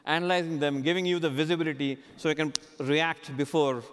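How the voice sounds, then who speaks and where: Higher-voiced man presenting in a reverberant hall. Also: fairly even intonation, brisk, noisy background. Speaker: keynote host interviewing a customer. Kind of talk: conference stage presentation and interview. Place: large conference stage, indoors. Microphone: headset. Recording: large hall reverb on stage.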